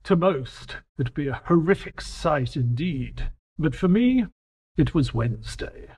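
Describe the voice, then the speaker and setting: A man's voice: a little high, animated, a little slow, darkish tone, breathy edge, exaggerated voice. A man playing a wry, proper and exasperated detective's companion, acting and narrating in an audio drama. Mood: measured, wry narrating. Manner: polite, wry, precise.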